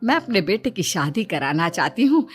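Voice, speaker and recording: A woman's voice: medium pitch, big pitch swings, moderate pace, faint music behind, natural voice. A woman voicing a devout, eager and demanding mother in a moral story, speaking emphatically. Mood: respectful, eager. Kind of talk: animated moral-story dialogue.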